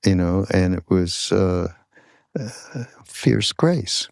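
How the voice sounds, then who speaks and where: Slow, gravelly low-voiced older man. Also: lively intonation, no room sound, clean studio sound. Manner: halting, searching for words. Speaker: podcast host. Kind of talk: reflective conversational storytelling.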